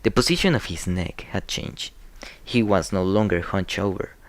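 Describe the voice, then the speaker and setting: A man's voice: sits low, animated, normal speed, no room sound. A man playing an uneasy, intrigued young nobleman telling his story in an amateur radio drama.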